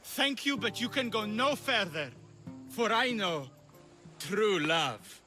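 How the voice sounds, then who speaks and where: A drunken, theatrical stage actor for a video game. His high voice swoops and drags through slurred, florid, lovesick phrases. Some background noise surrounds him. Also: sing-song, slow, pushed and bright, faint music behind, full character voice. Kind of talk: video game cutscene acting.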